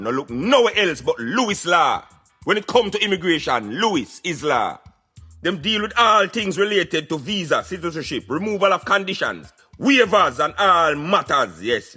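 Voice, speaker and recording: A man's voice: fairly high pitch, sharp tone, husky. A Jamaican man hosting a talk radio show, giving emphatic, preaching commentary in Patois.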